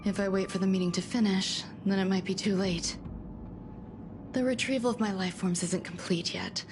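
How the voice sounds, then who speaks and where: An actress voicing a calm, courteous woman scientist in a video game, speaking in a measured, formal, understated way. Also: mid-range voice, close to an everyday voice. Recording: music behind the voice. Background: game music.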